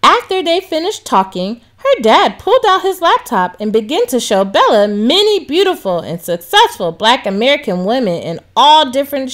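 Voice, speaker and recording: A woman reading a children's story aloud as scripted, expressive narration. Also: on the high side. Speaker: storybook reader.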